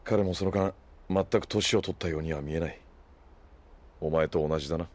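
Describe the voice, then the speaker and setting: A man acts as a shrewd, self-possessed leader with a low voice. He speaks coolly and dryly in measured phrases, in anime audio drama style. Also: fairly even intonation, a little mellow, lightly acted. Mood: composed. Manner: cool, measured, dry.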